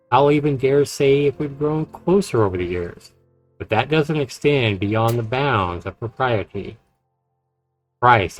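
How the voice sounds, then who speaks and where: A man streaming a game, reading story text aloud and adding casual commentary. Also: mid-range voice. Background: game music.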